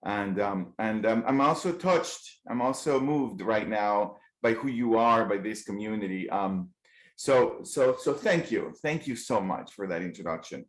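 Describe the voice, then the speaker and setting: A man with a Colombian Spanish accent delivers an earnest motivational keynote. He often restarts his sentences and fills pauses with "um" and "uh". His voice comes through a video call with a roomy echo. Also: lowish voice. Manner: earnest, frequent restarts and fillers. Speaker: keynote speaker.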